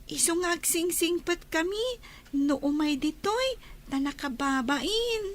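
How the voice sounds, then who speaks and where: A woman voicing a small, excitable, grateful child, the younger sibling in a radio comedy drama. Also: very high voice, unhurried. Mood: admiring.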